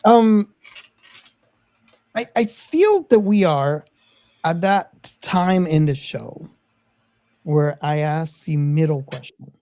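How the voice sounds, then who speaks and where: A man with a mellow voice hosts a casual film discussion. The sound is dry and close. He picks his way through his phrasing in a measured, hesitant, roundabout manner. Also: on the high side, expressive, no room sound. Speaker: podcast host.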